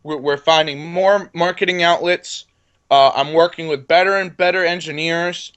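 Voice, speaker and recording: Confident young man, slightly high voice. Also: fairly even intonation, moderate pace, fairly bright tone, highs cut off. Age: 20s. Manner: confident, repeats words when starting sentences. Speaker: entrepreneur guest. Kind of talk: casual interview talk.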